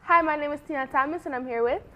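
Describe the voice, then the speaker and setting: A woman's voice: high-pitched, fast, pushed and bright. A teenage girl interviewing a classmate for a student news show, asking brief, direct questions.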